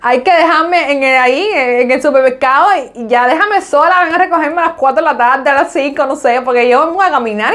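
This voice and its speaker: A Cuban woman hosting a reaction video, chatting casually in a lively, joking way. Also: sits high. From Cuba. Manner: lively, joking, talks to the viewer as "tú". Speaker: reaction host.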